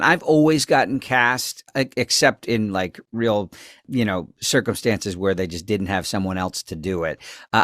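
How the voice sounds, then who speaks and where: A man with a youthful sound gives a casual interview as a voice actor. He rambles and halts in a mid-pitched voice, recorded very dry. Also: fairly bright tone, no room sound. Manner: rambling, halting.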